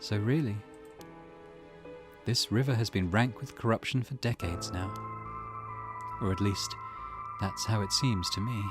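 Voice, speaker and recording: Guy doing a wistful woman in a visual novel, low measured voice, grim storytelling, loud music under it. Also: close to an everyday voice.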